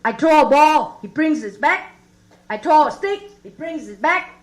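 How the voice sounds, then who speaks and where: A woman's voice: high voice, lively intonation, measured pace. A woman with a Vietnamese accent performing stand-up comedy in short one-liners.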